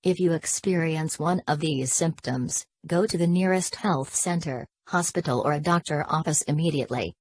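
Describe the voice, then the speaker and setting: Low-voiced woman, playing an emergency alert announcer. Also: normal speed, hardly any pauses, a little mellow, no room sound. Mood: formal, grave. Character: female emergency alert announcer, formal, ominous. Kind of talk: scripted emergency broadcast narration.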